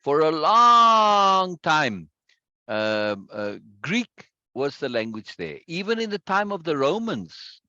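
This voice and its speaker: A man hosting a casual video-call chat, talkative and quick to repeat himself and laugh. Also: fairly high pitch, very animated, pushed and bright, dry recording, dull audio. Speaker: meeting host.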